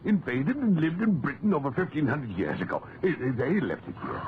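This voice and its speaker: A muffled, noisy old radio recording of an excitable village man. He swings wildly in pitch, stammers and insists in a fussy, agitated way, in full 1940s radio style. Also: brisk. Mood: agitated insistence.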